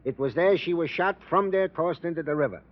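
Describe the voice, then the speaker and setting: A man's voice: on the high side, brisk, natural voice. An actor playing a wordy, fatherly police sergeant in a radio drama, talking in a florid, mock-formal way. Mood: reporting pompously. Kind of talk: 1950s radio character acting. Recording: muffled, noisy old radio recording.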